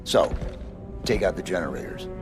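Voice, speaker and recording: An actor playing a stoic, dutiful armored supersoldier with terse, clipped military delivery in a cinematic game. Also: male voice, deep voice, animated, normal speed, over music.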